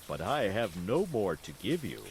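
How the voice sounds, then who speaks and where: A man voicing a kindly old woodcarver father who talks slowly and calmly, gently lecturing in a storybook reading. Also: fairly low pitch, a little hiss or hum, low-quality recording. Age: older. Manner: slow, calm, gently lecturing.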